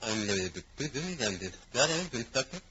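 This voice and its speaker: An actor playing a proud, defiant foreign gentleman under suspicion in an old-time radio crime drama, formal and indignant. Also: mid-range voice, big pitch swings, brisk, lightly acted. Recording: noisy, crackly vintage radio recording.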